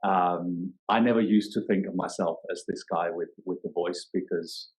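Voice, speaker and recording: A man in his forties with a very deep, low voice. He talks in a reflective podcast interview, pausing and reworking his sentences as he searches for words. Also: fairly even intonation, rough recording. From Denmark. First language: Danish. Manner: thoughtful, searching for words, many restarts. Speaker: yoga teacher and guest.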